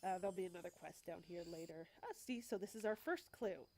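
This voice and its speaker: A woman's voice: lowish voice, fairly quick, dark tone, slight background noise. A woman with a stuffy cold talking casually as a let's play host, explaining the game as she goes with wry asides. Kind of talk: casual gameplay commentary.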